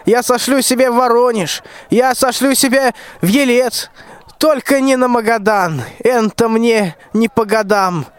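A young man in a radio play acting a cowardly, wheedling, self-pitying old tsar in slow, theatrical, plaintive rhymed verse. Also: very high voice, clean tone, dry recording. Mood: pleading. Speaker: school student actor.

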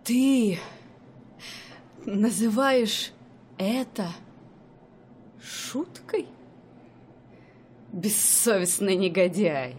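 A woman's voice: medium pitch, lively intonation, extremely slow, long pauses, darkish tone, a little hiss or hum. A woman acting a furious jealous wife in a radio play, scolding her husband in theatrical outbursts. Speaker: radio drama actress.

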